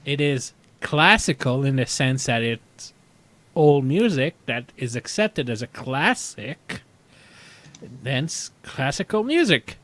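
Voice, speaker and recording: A man's voice: medium pitch, sing-song, slow pace, a bit bright, no room sound. A man co-hosting a podcast in casual chat, often stumbling and repeating words as he goes. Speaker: podcast co-host.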